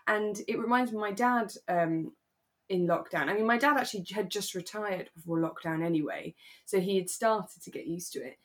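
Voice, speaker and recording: A woman's voice: mid-range voice, normal speed. A woman co-hosting a podcast, chatting casually in an effusive, giggly manner about a radio drama. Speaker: podcast co-host.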